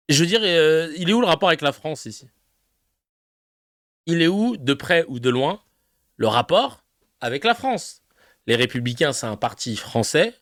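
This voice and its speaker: Animated, loud-laughing man host. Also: on the high side, clean tone, no echo, clean studio sound. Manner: animated, laughing, swearing, mocking. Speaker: streamer host reacting to clips. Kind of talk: casual live reaction commentary.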